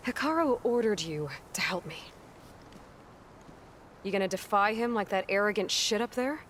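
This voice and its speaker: An actress playing a headstrong, practical young heroine in a video game, speaking directly and insistently. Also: female voice, mid-range voice, lively intonation, normal speed, fairly bright tone, a little hiss or hum, natural voice. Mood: pressing. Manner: direct, matter-of-fact, insistent. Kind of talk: naturalistic video game acting.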